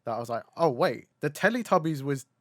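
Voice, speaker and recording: A man's voice: a little high, expressive, brisk, fairly bright tone, no echo, studio quality. A young man whose native language is Cantonese, chatting casually as a podcast co-host, rambling with swearing and laughs. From Cantonese-speaking Chinese family. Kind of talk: casual chat.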